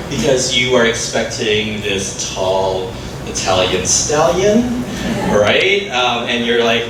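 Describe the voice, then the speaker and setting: Echoey, animated man presenting to a room. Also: lowish voice. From born in the Philippines, moved to Canada when very young. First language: Filipino. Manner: conversational, self-deprecating, frequent tag questions. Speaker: education workshop presenter. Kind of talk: interactive live presentation to parents and educators. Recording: echoey room, far from mic, clear noise.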